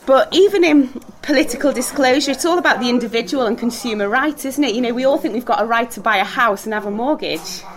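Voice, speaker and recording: A woman's voice: on the high side, fast talker, hardly any pauses. A woman teaching a church group in a casual, chatty way, laughing often and putting questions to the room over background noise. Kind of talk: talk with group discussion.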